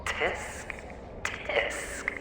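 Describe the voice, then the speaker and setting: Game villain-self: mocking, theatrical young man, slow swaggering delivery, heavily reverberant. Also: background noise. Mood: needling. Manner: mocking, drawn-out, sing-song swagger with sighs and chuckles. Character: taunting, theatrical inner self of a young male gambler. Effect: echoing reverb on the voice. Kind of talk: video game voice acting. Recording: echoing game cutscene with music. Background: music.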